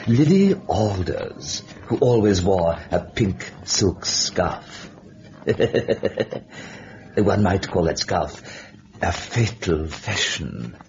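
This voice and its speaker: An actor playing an urbane, sardonic Devil, narrating a radio horror drama in a theatrical, taunting manner. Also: male voice, sits low, darkish tone, heavily acted. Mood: sly relish. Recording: dull lo-fi old radio, music behind.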